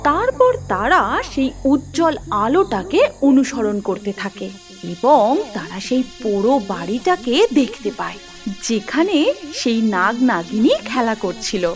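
High, animated female storyteller. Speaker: narrator. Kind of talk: scripted storybook narration for a cartoon.

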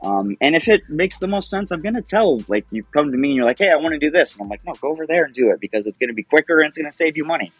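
A man's voice: a little high, expressive, very fast, hardly any pauses, slightly rough, no room sound, soft background music. A man in his thirties talking as an interview guest over a video call from home, rambling and upbeat, laughing often. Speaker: guest, project founder. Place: home, by a window. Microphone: webcam on video call.

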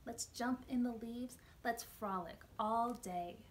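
A woman voicing a gentle male bear from a children's storybook, bright and cheerful. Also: a little high, takes it slowly, a little hiss or hum. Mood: joyful. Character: sick gentle male bear, sweet and grateful.

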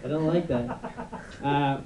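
A young man with a high, unmasculine voice doing a rambling stand-up set on a club stage, with many fillers and restarts. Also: unhurried, heavy background noise. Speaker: stand-up comedian. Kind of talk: stand-up routine. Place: dark club stage before a live audience. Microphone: handheld mic on a stand. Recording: echoey room, mic from far off.

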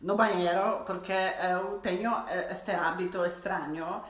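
A woman's voice: lowish voice, fairly even intonation, a little slow. Polish woman in her forties, chatty vlogger who restarts a lot, roomy living room sound, built-in mic. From Poland. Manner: chatty, self-correcting, laughs between phrases. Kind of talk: casual chat to camera. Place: living room at home with fireplace.

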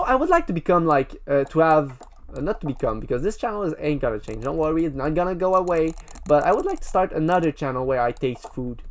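Rambling, playful man narrating gameplay. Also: fairly high pitch. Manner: rambling, playful, talks straight to viewers. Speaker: gaming video creator. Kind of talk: casual gameplay commentary.

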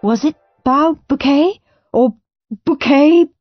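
A nervous, well-behaved little boy from a storybook , played by a woman, high-pitched and flustered. He is desperately eager to please and easily tips toward panic. The audio is slightly dull. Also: takes it slowly, faint music behind. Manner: mumbling, then panicky wailing.

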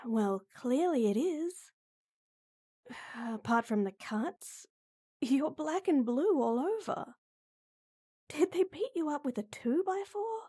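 Woman acting tomboy girl, gruff but caring, unhurried, mellow, sighing, exasperated, audio roleplay drama. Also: high-pitched, full character voice. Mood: indignant, alarmed.

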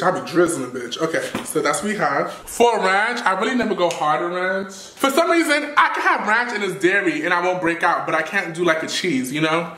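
A young man in his twenties cooking in a home kitchen, talking in a sassy, flamboyant, animated way and swearing freely. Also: on the high side. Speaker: vlogger cooking. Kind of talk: casual cooking vlog. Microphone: camera across the kitchen. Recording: echoey kitchen, mic at a distance. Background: food sizzling in a pan.

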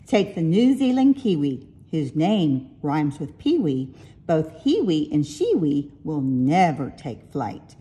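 Woman, storybook read-aloud, lowish pitch, lively intonation, rhyming verse, deliberate. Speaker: picture-book reader.

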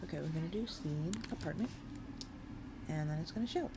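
A woman's voice: low voice, lively intonation, a little fast, heavy background noise. A woman giving a casual screen-recorded coding tutorial, informal and thinking aloud as she works. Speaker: tutorial host, game developer.

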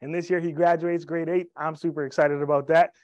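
A man's voice: a little high, flat delivery, brisk, a little mellow, no room sound, dull audio. A man in his thirties giving an upbeat, joking sales presentation on stage with a handheld mic, telling personal stories. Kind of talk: sales presentation to a live audience. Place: event room with a stage. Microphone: handheld microphone.

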